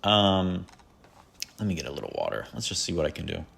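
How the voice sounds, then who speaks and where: Male podcast host, low voice, rambling and self-deprecating, casual solo chat. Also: very animated, fairly quick, vocal fry, a bit bright, breathy edge.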